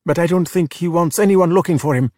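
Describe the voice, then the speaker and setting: A man voicing an anxious, excitable young boy who stammers and babbles in a storybook. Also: fairly high pitch, moderate pace, vocal fry, dry recording, studio quality, voice pushed up. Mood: anxious babbling. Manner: nervous, stammering. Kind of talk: children's storybook character voice.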